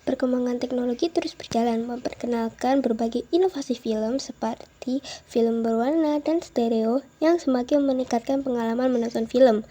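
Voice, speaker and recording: A young woman with a hoarse voice, talking casually as a student presenter in a voice-over lesson. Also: a little high, steady tone, normal speed, mellow. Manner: chatty, peppers talk with "nah" and "gitu deh". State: hoarse, a bit unwell. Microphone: home-recorded dubbing.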